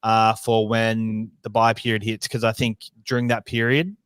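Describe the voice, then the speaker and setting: A man with a New Zealand accent co-hosting a casual sports podcast, speaking in an easygoing, halting way with fillers and restarts. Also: on the low side, little pitch movement, fairly bright tone, smooth, no echo. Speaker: podcast co-host.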